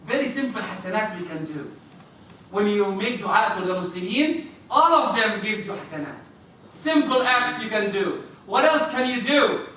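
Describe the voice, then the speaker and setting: Loud, bright-voiced imam in an echoey hall. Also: male voice, high-pitched, normal speed. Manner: loud, animated, jokes with the congregation. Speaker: imam giving a sermon. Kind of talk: Friday sermon to a congregation. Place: mosque prayer hall. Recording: echoey hall, mic far off, faint noise.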